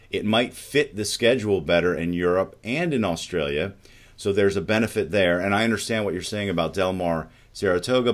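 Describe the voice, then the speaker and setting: A middle-aged man hosting a casual discussion show, posing questions to his co-host over a video call. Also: sits low. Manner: conversational, poses questions to co-host. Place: studio with dark backdrop.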